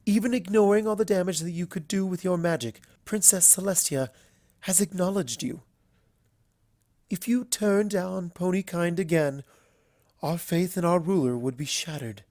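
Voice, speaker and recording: A narrator voicing a refined, earnest female unicorn pony with formal, measured, deliberate speech in a dramatic story reading. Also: mid-range voice, darkish tone, no room sound, clean studio sound, natural voice. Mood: grave, composed. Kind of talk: dramatic fan fiction reading.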